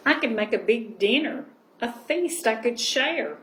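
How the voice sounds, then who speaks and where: A woman voicing a gentle, downcast storybook bear in a plaintive way. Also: on the high side, expressive, unhurried. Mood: wistful. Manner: plaintive, sighing. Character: gentle bear, downcast at having nothing to share. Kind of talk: storybook character reading. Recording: roomy echo, clear background noise.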